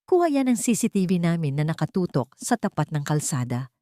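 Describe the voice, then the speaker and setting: Female narrator as older confidante, soft, hesitant, concerned, low-pitched, dry audio-story sound. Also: big pitch swings, brisk, dry recording. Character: hesitant, sympathetic older woman, the grandmother's close friend.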